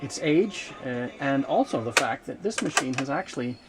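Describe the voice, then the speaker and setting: A man giving an unscripted hands-on demonstration of vintage equipment, explaining in a measured way with hesitant pauses mid-sentence. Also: mid-range voice, animated. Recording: noticeable background noise, room ambience.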